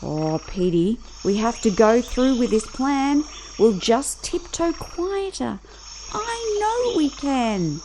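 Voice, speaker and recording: A woman voicing a curious, excitable little boy from a children's storybook, full of wonder and conspiring with his teddy bear. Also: a little high, slow, slight background noise, heavily acted, poor audio. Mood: eager wonder. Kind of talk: children's storybook character reading. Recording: music behind the voice.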